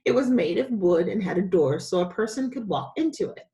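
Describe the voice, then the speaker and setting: A woman reading a children's story aloud as a teacher, with an expressive, dramatic storytelling delivery. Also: mid-range voice, a little fast, low-quality recording.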